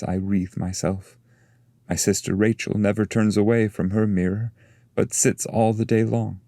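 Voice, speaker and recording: A man voicing a beautiful young lady from a dream in a literary reading, gentle and serene. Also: fairly low pitch, no echo, clean studio sound, pitched above the performer's own voice. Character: beautiful young dream lady singing while gathering flowers.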